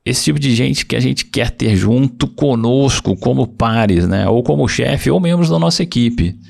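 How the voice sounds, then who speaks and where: A man hosts a career podcast interview, and his voice has a heavy gravelly fry all through. He talks in a measured, reflective way and sums up his guest's points. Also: mid-range voice, animated, a little mellow. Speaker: podcast host.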